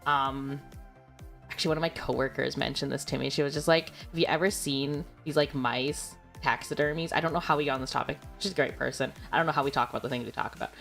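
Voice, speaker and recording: A woman's voice: a bass voice, steady tone, fast talker, vocal fry, fairly bright tone, faint music behind. A young adult woman streaming, chatting casually and rambling with lots of fillers and giggles. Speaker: VTuber streamer. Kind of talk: casual livestream chat.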